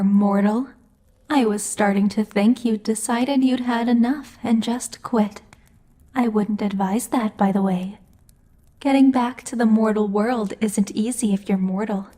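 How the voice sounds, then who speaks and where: A haughty female demon lord, acted by a woman in an ASMR roleplay, with a fairly high yet soft, mellow voice. She is bossy and measured, with the exasperated patience of a stern employer. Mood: haughty, brisk. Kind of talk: ASMR roleplay monologue addressed to the listener.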